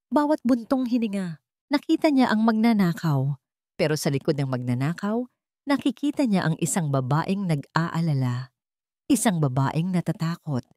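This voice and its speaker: A woman narrating a romance story in a dramatic, expressive reading style. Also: fairly low pitch, no room sound, clean studio sound.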